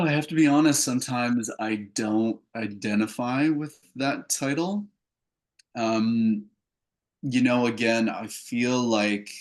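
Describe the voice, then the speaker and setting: A Canadian man answering interview questions casually, as a filmmaker, in an earnest, reflective way. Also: lowish voice. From Calgary, Canada. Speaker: filmmaker and actor, interview guest.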